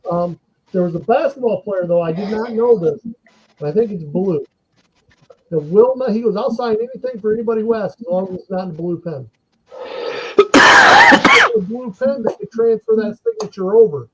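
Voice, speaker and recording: A man telling animated anecdotes in a casual podcast chat. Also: high voice, normal speed, long pauses, slight background noise, low-quality recording. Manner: animated anecdotes, laughs while telling stories.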